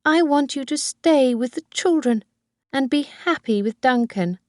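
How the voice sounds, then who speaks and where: A woman voicing a frail, possessive old mother who talks in a high, pleading, clinging way. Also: lively intonation, measured pace, dry recording, studio quality. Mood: confessing, fervent.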